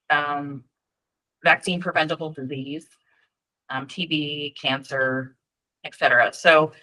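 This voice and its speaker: Woman staff presenter on a video call, bright forceful tone, casual and chatty, lots of ums while walking through her screen. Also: on the low side, rough recording. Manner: chatty and informal, frequent ums, friendly asides. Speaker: data team presenter at a state EMS registry meeting. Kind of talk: virtual meeting presentation with screen share.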